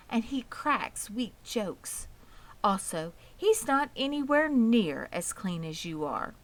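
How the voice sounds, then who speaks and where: A woman's voice: mid-range voice, fairly bright tone. A woman voicing a clever female spider, composed and polite, with a precise, dry way of talking.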